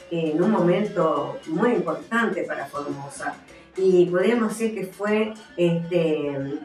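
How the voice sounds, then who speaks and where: Echoey, lower-pitched Argentine woman presenting. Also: a little mellow. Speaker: author presenting her books. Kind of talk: book presentation, explanatory talk with some reading. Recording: echoey, somewhat distant from the mic.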